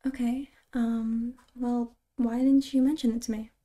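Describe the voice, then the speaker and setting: A woman playing a caring, reassuring girlfriend in an ASMR roleplay, soft-spoken and breathy, close to a whisper, with halting, tender delivery. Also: a little high, little pitch movement, natural voice. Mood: gentle concern.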